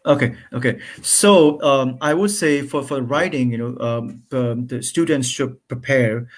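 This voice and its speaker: Halting man giving study tips. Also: mid-range voice. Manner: halting, many restarts and fillers. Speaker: guest. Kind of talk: conversational advice over a call.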